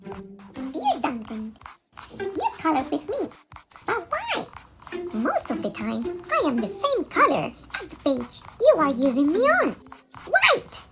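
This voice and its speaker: Childlike storybook crayon, wounded, plaintive, high and extremely animated, loud music behind. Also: female voice, slow pace, full character voice. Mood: hurt, plaintive. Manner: plaintive, dramatic. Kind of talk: storybook read-aloud.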